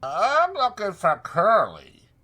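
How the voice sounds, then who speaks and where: A man's voice: high voice, wildly swooping pitch, slow pace, bright, forceful tone, voice pushed up, full character voice. Man putting on nasal, coy young ranch wife. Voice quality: nasal, brittle.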